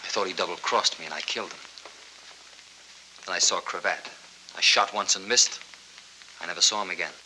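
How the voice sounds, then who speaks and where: An American actor in a 1940s film noir, playing a cold, menacing killer who talks in a terse, matter-of-fact, threatening way. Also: male voice, low voice, brisk, long pauses, bright, forceful tone, poor audio. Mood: cold, confessing. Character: cold, menacing male killer and money launderer with a gun. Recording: hissy 1940s film soundtrack.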